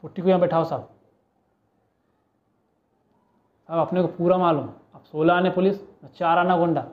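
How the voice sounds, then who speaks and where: Guy playing a cheeky mumbai petty crook haggling with cops, slangy, kinda high voice, tinny muffled audio. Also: steady tone, fast, close to an everyday voice. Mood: admiring, insistent. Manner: pleading, bargaining, swaggering street talk. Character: cheeky small-time male street crook in police interrogation. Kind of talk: audition monologue, film-style street-slang acting. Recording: muffled, narrow phone-like sound.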